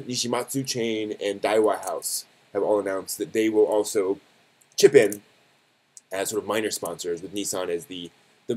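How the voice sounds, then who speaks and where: A man hosting a live stream, giving news commentary in a casual, conversational and somewhat halting way, often correcting himself. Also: on the low side, a little mellow.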